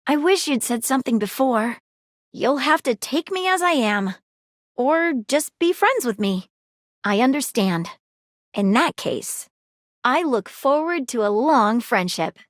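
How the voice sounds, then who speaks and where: A flirty, nosy magnifying-glass sleuth with a lilt. Her voice sits fairly high and leaps around with drama and play. It sounds close and dry, with no room around it. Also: expressive, a little slow, dry recording. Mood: earnest. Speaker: dateable game character. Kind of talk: video game voice lines. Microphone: close studio mic.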